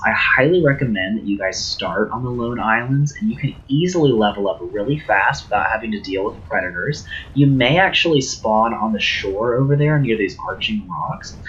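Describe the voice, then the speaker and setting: A man's voice: mid-range voice, fairly bright tone, lo-fi sound, a little hiss or hum. A man, a little sick, casually streaming a game tour and chatting with his viewers.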